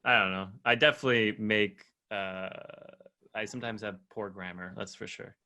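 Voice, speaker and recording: A man's voice: lowish voice, fairly even intonation, normal speed, sharp tone, a little hiss or hum. A young man who grew up in Estonia, chatting casually on a call, hesitant with many fillers and restarts. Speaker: artist in a collaboration. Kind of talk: casual video-call chat. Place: apartment in a quiet block.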